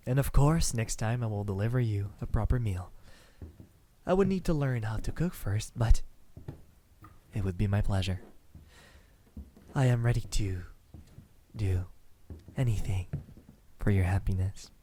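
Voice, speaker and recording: A man playing a devoted, courtly vampire lover in an anime-style ASMR roleplay, speaking softly, intimately and formally. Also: fairly low pitch, slight background noise, lightly acted. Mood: devoted, wistful. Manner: soft, slow, intimate, formal and courtly phrasing. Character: devoted adult male vampire, courtly, tender, possessive lover.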